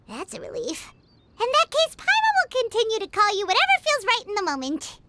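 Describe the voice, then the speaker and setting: A woman voicing a tiny, excitable, blunt fairy companion in a video game, with a squeaky childlike voice. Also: normal speed, heavy background noise, heavily acted. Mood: sheepish, playful. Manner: refers to self in third person, exclaiming. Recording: music behind the voice.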